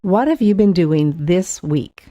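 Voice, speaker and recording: An adult American woman who interviews young people with short scripted questions, asked in a measured, even way. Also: lowish voice, dull audio, over music.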